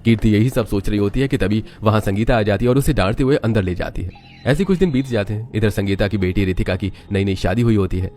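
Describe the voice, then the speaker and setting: A man's voice: lowish voice, quick pace, darkish tone, slight background noise. A man reading scripted narration for a moral family story.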